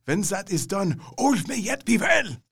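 An elderly professor whose comes out in urgent, clipped commands. His voice is husky and gravelly, and it rises and falls widely. Faint music sits underneath. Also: high-pitched, big pitch swings, a little slow, fairly bright tone, exaggerated voice. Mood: grave, steadying. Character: urgent elderly male vampire-hunting professor.

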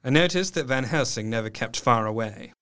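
An actor playing a sorrowful, earnest doctor who narrates a gothic tale in measured, literary phrases. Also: male voice, mid-range voice, animated, fairly bright tone, lightly acted. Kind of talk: dramatic scripted narration.

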